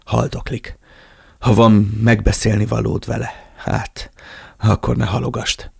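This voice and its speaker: A man voicing a calm male agent who takes charge with brisk orders. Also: lowish voice, vocal fry, breathy edge, no room sound, clean studio sound, full character voice. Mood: commanding.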